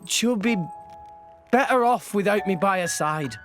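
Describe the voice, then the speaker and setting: A man's voice: sits high, animated, measured pace, music in the background. A man voicing an earnest, self-doubting teenage boy hero in a video game. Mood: desperate.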